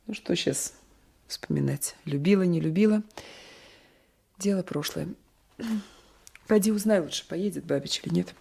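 An actress playing a troubled young peasant wife in a radio play, breathy and emotional. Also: female voice, on the low side, moderate pace, pauses often, warm tone. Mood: bitter, brusque. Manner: breathy, emotional, drops into whispered asides. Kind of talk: Soviet radio play acting. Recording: 1980s radio drama recording.

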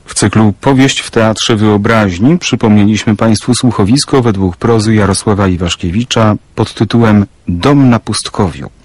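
Male radio announcer, formal, measured, lowish voice, dry studio sound. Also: no room sound.

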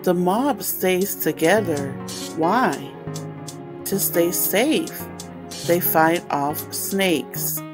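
A woman reading a children's picture book aloud as a storytime host, explaining things simply and deliberately for young listeners. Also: fairly low pitch, music in the background.

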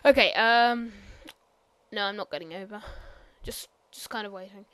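A woman giving a casual, rambling game tour voiceover, hesitant and full of ums and apologies, sometimes bursting out loud. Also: mid-range voice, normal speed, smooth. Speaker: gameplay commentator.

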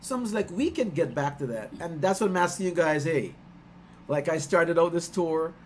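A man's voice: on the high side, normal speed, slight background noise. Chatty man on a headset video call. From Guyana. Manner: warm, chatty, laughs often, gets excited recounting stories. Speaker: musician hosting his album release stream. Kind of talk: casual chat on a video call. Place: small room at home.

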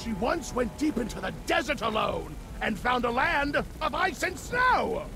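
Excitable gravelly craftsman Hugin. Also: very high voice, measured pace, over music, heavily acted. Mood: starstruck. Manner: excitable, gushing.

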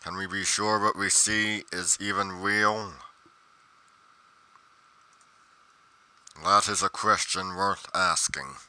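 A man's voice: sits low, steady tone, measured pace, pushed and bright, full character voice. A man playing a pedantic, musing paranormal investigator narrating his journal theatrically in a game roleplay, pompous and dramatically emphatic.

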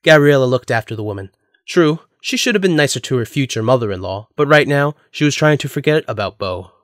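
A man's voice: mid-range voice, lively intonation, brisk, no room sound, studio quality. A man reading scripted story narration as the narrator of an audio drama.

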